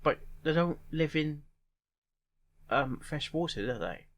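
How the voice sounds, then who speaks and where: A man giving casual reaction commentary in a halting way, repeating phrases and musing aloud in questions. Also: a little high, lively intonation, fairly bright tone. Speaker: reaction video host.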